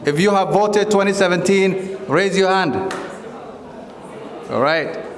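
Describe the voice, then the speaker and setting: A man's voice: high voice, slow pace. Man speaking in an echoey hall. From Somalia. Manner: addresses the audience directly, asks for raised hands. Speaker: former candidate speaking at a campaign event. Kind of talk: public speech to a crowd. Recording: echoey hall, long reverb.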